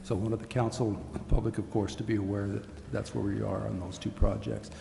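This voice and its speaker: A man giving a formal update to a council, halting and deliberate, in an echoey, noisy chamber. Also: on the low side, little pitch movement, gravelly, warm tone. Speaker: city official reporting to council. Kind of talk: formal update at a public meeting. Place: indoor city council chamber.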